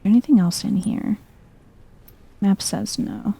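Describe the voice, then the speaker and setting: A woman streams a game in a soft ASMR style, thinking aloud in a low-key way. Her voice is very dark and relaxed, with a creaky fry at the edges. She takes her time, speaking slowly and gently. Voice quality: soft, hushed, husky. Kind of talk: soft-spoken ASMR gameplay commentary.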